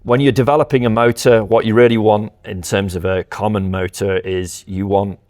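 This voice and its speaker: Male product specialist, low register, explains engineering step by step as he demonstrates. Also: level tone, normal speed, darkish tone. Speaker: company representative presenting audio products.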